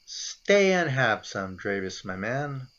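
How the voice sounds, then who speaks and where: Slow-talking, well-meaning dad, played by an actor, muffled recording. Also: male voice, mid-range voice, big pitch swings, a bit bright. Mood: easygoing. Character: middle-aged dad, apologetic, well-meaning, easygoing son. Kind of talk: radio play acting. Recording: dull home-recorded audio.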